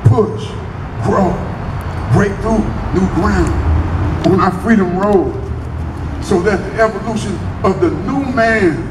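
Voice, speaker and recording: A man's voice: high voice, takes it slowly, long pauses. A man giving an earnest, emphatic personal tribute to an audience, often repeating his words. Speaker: guest speaker giving a tribute. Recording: echoey distant recording with clear background noise.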